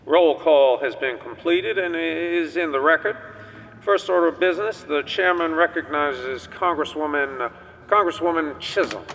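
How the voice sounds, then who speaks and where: A man's voice: a little high, moderate pace, a bit bright. Formal chairman in echoing hall. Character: formal congressional chairman presiding over a session. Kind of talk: formal procedural announcement. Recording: echoing hall, faint noise.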